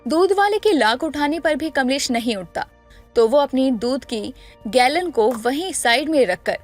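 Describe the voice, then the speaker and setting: High-pitched woman storyteller. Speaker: narrator. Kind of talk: scripted story narration.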